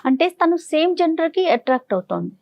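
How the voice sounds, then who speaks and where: A woman co-hosting an interview, asking calm, direct questions. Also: very high voice, fairly quick, gravelly, dry recording, highs cut off.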